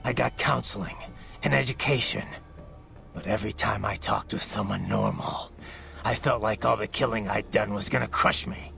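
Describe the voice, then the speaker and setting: A man voicing a brooding, bloodthirsty cyborg soldier in a video game, with a menacing gravelly growl and intense, clipped phrases. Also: lowish voice, moderate pace, pushed and bright, heavily acted. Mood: troubled. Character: brooding, bloodthirsty male cyborg soldier, haunted former child soldier. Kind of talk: dramatic video game voice acting. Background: music.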